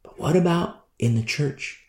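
A man preaching a sermon in an animated, repetitive style, acting out the people he criticizes. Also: a little high, normal speed. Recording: echoey room sound.

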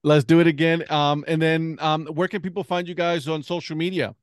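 A man from Puerto Rico hosting a podcast, chatty and quick to laugh, often restarting his sentences. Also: on the high side, level tone, dry recording, studio quality. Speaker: podcast host. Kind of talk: casual podcast interview.

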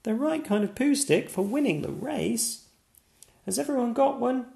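A man's voice: very high-pitched, lively intonation, normal speed, creaky, roomy sound, voice pushed up, exaggerated voice. A man voicing a cheerful young girl leading a game in a storybook. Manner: bright, bossy game-leading.

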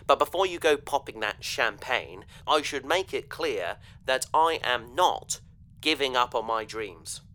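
Young idealistic spaceman for audio drama, bright clear-cut voice, sincere, kinda hesitant. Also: mid-range voice, lively intonation, measured pace. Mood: earnest, hopeful. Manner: earnest, hesitant. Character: earnest young idealistic male space adventurer.

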